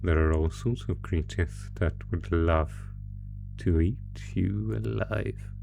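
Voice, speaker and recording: A man voicing a sinister, sadistic monster from under the bed in a horror roleplay, taunting his prey in a deliberate, mock-genteel, theatrical way. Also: low-pitched, gravelly, mellow, slight background noise, full character voice. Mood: ominous, amused. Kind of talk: horror roleplay audio, slow theatrical menace. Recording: music under the voice. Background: music.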